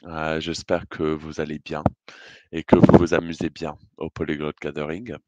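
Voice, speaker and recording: A British man with a low, deep voice delivers a prepared presentation. His British accent shows, and his phrasing is measured and hesitant. The sound is dry and close, with little room around it. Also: completely flat delivery, darkish tone, no room sound, highs cut off. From Britain. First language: English. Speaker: conference speaker.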